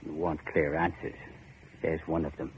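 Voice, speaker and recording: A grim boatman with a very low voice and a foreboding, cryptic air. He talks in folksy, clipped phrases in the manner of vintage radio horror. The recording is muffled and noisy, like an old broadcast. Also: level tone, normal speed. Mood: terse, ominous. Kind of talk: 1940s radio horror acting.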